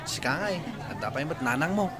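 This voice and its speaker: A man's voice: medium pitch, big pitch swings, fast talker, close to an everyday voice. An actor with a Filipino accent playing a teasing, easygoing young male friend in a radio drama. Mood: chiding. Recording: loud music and crowd behind.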